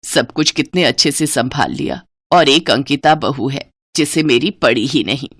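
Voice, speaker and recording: A woman acts out an older Indian-family matriarch for a cartoon moral tale. Her voice sits low and is recorded dry and close. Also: moderate pace, a bit bright, no room sound. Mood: regretful. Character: harsh scornful older mother-in-law, later remorseful. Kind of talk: cartoon moral story dialogue.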